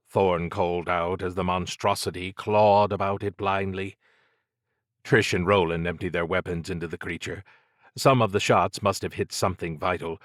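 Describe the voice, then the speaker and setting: A male audiobook narrator reads dramatic fiction from a script. His voice is low and he takes his time over the phrases. The recording is very dry. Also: a bit bright, no echo.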